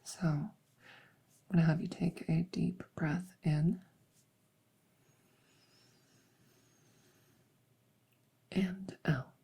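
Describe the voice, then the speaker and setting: A woman playing a gentle, reassuring veterinarian in a soft-spoken ASMR roleplay, hesitant and soothing as she explains. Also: lowish voice, fairly even intonation, slow pace, vocal fry, husky, full character voice. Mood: gentle, coaxing. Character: gentle, reassuring female veterinarian examining a werewolf patient. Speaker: audio roleplay performer.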